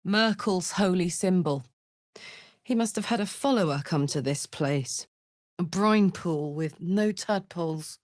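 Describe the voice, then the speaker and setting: A woman's voice: lowish voice, measured pace, a little mellow, no room sound, clean studio sound, close to an everyday voice. An actress playing a brash, big-hearted tiefling barbarian woman in a video game, blunt and swaggering in manner. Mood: matter-of-fact. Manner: blunt, slangy, swearing, swaggering.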